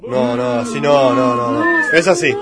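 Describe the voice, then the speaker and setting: A man's voice: medium pitch, normal speed, loud music behind the voice, a loud crowd, lightly acted. A man with an Argentine accent playing a suspicious, fussy priest in a comic radio sketch. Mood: fussy, exasperated.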